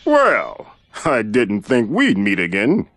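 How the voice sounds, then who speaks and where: An actor playing a bossy, no-nonsense companion to a hero in a cartoon game. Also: male voice, fairly low pitch, wildly swooping pitch, slow, a bit bright, no echo, faint music behind, exaggerated voice. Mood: suspicious. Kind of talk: animated video game cutscene acting.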